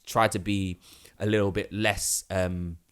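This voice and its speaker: A man co-hosting a podcast, energetic and chatty, laughing easily. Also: deep voice, measured pace, smooth. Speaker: podcast co-host. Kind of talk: casual chat. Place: dining room.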